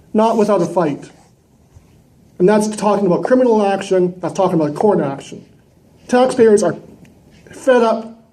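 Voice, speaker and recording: A man speaks at a public meeting in a fairly high but soft-edged, dark-toned voice. He is indignant and combative, pressing his points with emphatic rhetorical questions, close on a gooseneck mic. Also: a little hiss or hum, poor audio. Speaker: citizen delegate at a council meeting. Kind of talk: impassioned public address. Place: large indoor council chamber. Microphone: gooseneck microphone close to mouth.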